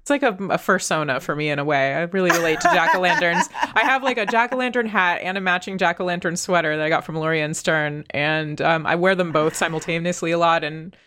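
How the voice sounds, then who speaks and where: A young woman co-hosts a relaxed podcast conversation, her voice a little stuffy and congested. She talks in a rambling, self-deprecating way, breaking into frequent laughs, and her voice sits slightly low. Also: a little fast, few pauses, a little hiss or hum. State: congested, recovering from illness. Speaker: podcast co-host. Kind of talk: casual chat.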